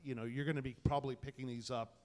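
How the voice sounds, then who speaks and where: A man's voice: mid-range voice, fairly even intonation, fast talker. A man talking casually and enthusiastically as a panelist, in an informal, colloquial way. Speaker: panelist, tech content creator. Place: indoor event space before a small audience. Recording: reverberant room, panel event.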